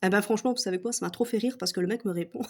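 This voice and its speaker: Belgian french accent, woman, mid-pitched voice, casual comic rant, swears freely, mocking tone, frequent laughs. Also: fast talker. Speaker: vlogger.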